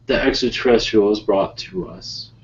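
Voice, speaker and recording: An ancient otherworldly guide channeled by a man speaks slowly and solemnly in broken, measured phrases. The delivery is calm and halting, and the sound is dull and lo-fi, like a web call. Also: fairly low pitch, lightly acted. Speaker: channeler leading a webinar session. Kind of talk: slow improvised channeled speech. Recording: muffled lo-fi webinar call audio.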